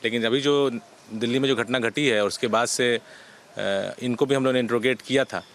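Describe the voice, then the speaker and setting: A man with an Indian accent speaking as a senior police officer in a press interview, official and explanatory with halting hesitations. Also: mid-range voice, steady tone. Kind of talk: press interview answers, mixing Hindi and English.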